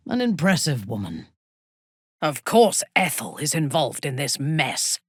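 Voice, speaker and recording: A woman voicing a ruthless, sensual female warrior commander in a fantasy video game, with a husky, imperious and measured menacing delivery. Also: low-pitched, very animated, no room sound, studio quality, full character voice. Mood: cool, appraising. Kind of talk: dramatic fantasy game dialogue.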